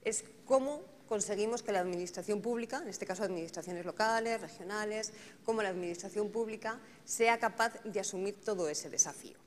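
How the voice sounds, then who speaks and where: A woman's voice: mid-range voice, fairly quick, a little hiss or hum. A woman moderating a conference panel, thinking aloud and often checking in with tag questions. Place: conference hall. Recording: conference hall reverb, faint ambience.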